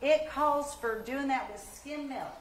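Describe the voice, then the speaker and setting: An actress playing a folksy, chirpy housewife who hosts a comedy cooking show in old-time radio style. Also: female voice, high voice, unhurried, slight background noise. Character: Molly McKitchen, chatty, thrifty homespun cooking-show housewife.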